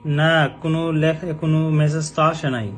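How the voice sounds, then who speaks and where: A Bangladeshi man with a slightly raised pitch chats casually on a phone call as a customer. He is hesitant and repeats himself, with faint noise behind him. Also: level tone, moderate pace.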